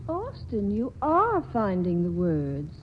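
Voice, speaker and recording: A woman's voice: mid-range voice, wildly swooping pitch, slow pace. An actress playing a restless, teasing young woman with a cool, lightly dismissive manner in 1940s radio drama style. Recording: muffled old radio broadcast with faint hiss.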